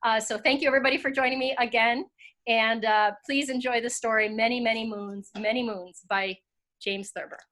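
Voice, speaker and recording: Female host-narrator, American accent, somewhat high voice. Storybook reading for a general audience. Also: fairly even intonation, brisk, a bit bright. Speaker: host and narrator, school teacher.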